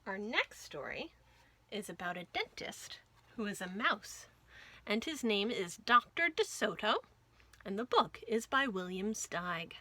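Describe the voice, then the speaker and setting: A woman hosting a children's storytime, reading a picture book aloud with clear phrasing and emphasis for young listeners. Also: medium pitch, very animated, measured pace, pushed and bright. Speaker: children's librarian, storytime host.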